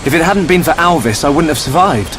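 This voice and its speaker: Earnest high-voiced young British hero, acted by a man. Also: expressive, fast, over music, lightly acted. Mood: insistent. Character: earnest, inquisitive young hero wielding a magic sword. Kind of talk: dramatic video game voice acting.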